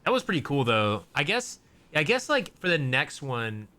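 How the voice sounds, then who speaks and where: A man's voice: fairly high pitch, very animated, moderate pace, bright, forceful tone. A young man co-hosting a podcast in casual chat, rambling with many fillers and restarts. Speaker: podcast co-host.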